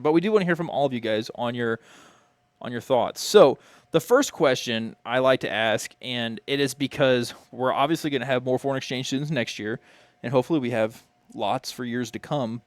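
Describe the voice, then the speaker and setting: A man's voice: mid-range voice, dry recording. A man hosting an interview for a school TV studio, asking guests questions. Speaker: interviewer and host. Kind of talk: student TV interview.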